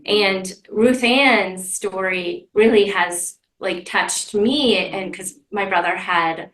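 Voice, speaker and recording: Woman talking slow and halting, reflective group chat, echoey and off mic. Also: medium pitch, fairly bright tone. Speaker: program leader. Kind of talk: casual, reflective group conversation. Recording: echoey room, distant mic.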